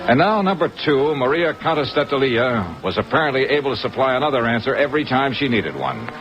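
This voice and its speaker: An actor playing a tough, wry American insurance investigator with an American accent, in clipped old-time radio detective style. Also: male voice, medium pitch, sing-song, fairly quick, over music, close to an everyday voice. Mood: terse narrating. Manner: hard-boiled, clipped, wry. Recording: muffled old radio recording, loud background noise.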